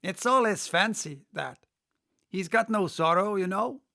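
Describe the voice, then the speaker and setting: A cheeky, bossy griffin from a storybook, performed by a man. The voice is pushed high and loud, tossing off ungrammatical remarks in a casual, dismissive way. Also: lively intonation, unhurried, vocal fry, exaggerated voice. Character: brash, dismissive griffin with folksy bad grammar. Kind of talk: character voice in storybook reading.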